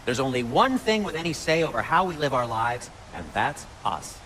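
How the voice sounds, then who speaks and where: A man's voice: mid-range voice, normal speed, slight background noise, poor audio. A male actor playing a fussy, sardonic dwarf blacksmith in a video game, chatty and prissy in his delivery. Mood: earnest, defensive. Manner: prissy, animated, wry delivery. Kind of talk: video game cutscene acting.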